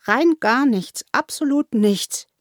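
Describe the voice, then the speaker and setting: A woman's voice: on the high side, normal speed, darkish tone, no echo, clean studio sound. A woman playing a cool, sober young female student in an audiobook, speaking in a curt, earnest way. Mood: earnest, emphatic. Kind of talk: audiobook character acting.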